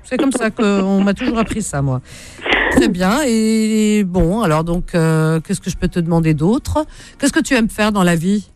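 A woman's voice: medium pitch, moderate pace, darkish tone. A woman hosting a radio call-in, chatting casually and familiarly, often repeating back what she is told. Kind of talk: casual live radio chat.

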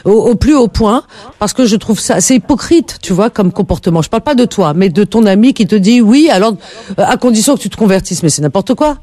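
A woman's voice: medium pitch, lively intonation, fairly quick, dark tone. A woman hosting a call-in radio show, lecturing her caller in an emphatic, indignant way.

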